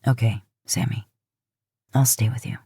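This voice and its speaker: A woman voicing a gruff, terse man, a protective brother in a fan fiction audiobook. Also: a bass voice, steady tone, measured pace, mellow, no room sound, studio quality, pitched below the performer's own voice, heavily acted. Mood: soft, reassuring.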